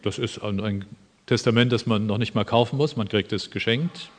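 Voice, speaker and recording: A man's voice: lowish voice, normal speed. A man preaching a sermon in an earnest, emphatic way, with dry asides. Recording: long hall reverb.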